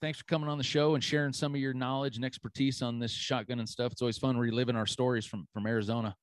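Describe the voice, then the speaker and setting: A man's voice: medium pitch, steady tone, fast, dry recording. Easygoing male podcast host, dry sound. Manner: easygoing, lots of fillers like "you know". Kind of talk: casual hunting chat.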